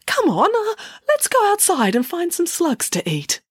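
A woman's voice: very high-pitched, huge pitch swings, unhurried, no room sound, clean studio sound, pitched above the performer's own voice, exaggerated voice. Woman putting on a flustered mother duck. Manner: fibbing brightly, flustered. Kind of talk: audiobook character voice.

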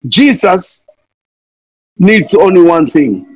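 High-voiced, fervent preacher man. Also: animated, slow, darkish tone, slightly rough, no room sound. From Kenya. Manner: impassioned, repeats pleas, wordplay. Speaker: missionary preacher guest. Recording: dull lo-fi dry sound.

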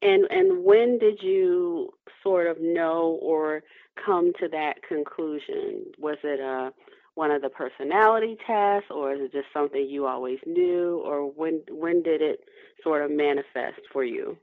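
A woman's voice: deep voice, unhurried, no echo. A woman hosting a podcast, interviewing in a conversational way. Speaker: podcast host. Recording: narrow phone-like sound, dry.

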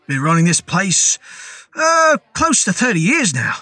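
A man's voice: sits high, wildly swooping pitch, unhurried, sharp tone, no echo, voice pushed up, heavily acted. A man voicing a curt, wary middle-aged pub landlord in a dramatised horror story. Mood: casual. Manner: curt, suspicious.

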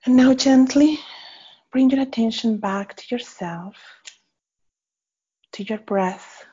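A woman leading a guided meditation in a gentle, unhurried manner with pauses between short phrases. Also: a little high, breathy edge. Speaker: meditation guide, faculty member. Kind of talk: guided body scan meditation. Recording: slightly dull, muffled audio.

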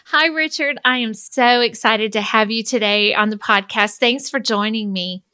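A woman's voice: a little high, moderate pace, bright, forceful tone, smooth, dry recording, studio quality. A woman hosting a podcast as an executive coach, talking in an enthusiastic, upbeat way. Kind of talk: podcast interview.

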